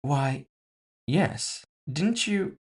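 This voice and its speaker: A man's voice: a little high, lively intonation, very slow, husky, voice pushed up, full character voice. A man voicing a composed, villainous woman executive in audio fiction, casually polite, mocking and condescending. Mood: amused, condescending. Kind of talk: audio fiction character dialogue.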